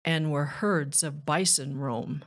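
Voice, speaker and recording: A woman reading a rhyming children's book aloud with measured, deliberate phrasing. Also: low voice. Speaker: children's author and narrator.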